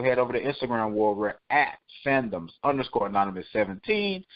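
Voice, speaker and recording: A man's voice: medium pitch, no echo, lo-fi sound. A man hosting a podcast, talking casually with his co-hosts and listeners. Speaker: podcast host. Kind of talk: casual podcast chat and sign-off.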